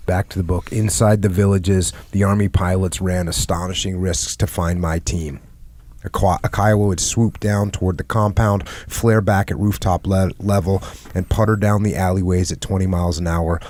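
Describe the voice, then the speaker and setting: A man's voice: deep voice, level tone, moderate pace, darkish tone, no room sound. A man hosting a podcast, reading aloud from a book. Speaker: podcast host reading a war memoir aloud.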